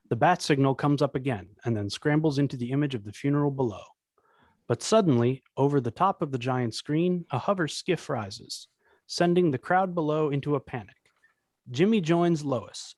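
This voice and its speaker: A man's voice: mid-range voice, darkish tone, no echo. A man reading out screenplay stage directions as scripted narration.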